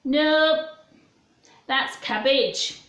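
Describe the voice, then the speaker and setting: A woman's voice: sits high, drawn-out words, fairly bright tone, echoey room, exaggerated voice. Woman reading as a kindly, firm, emphatic grandfather.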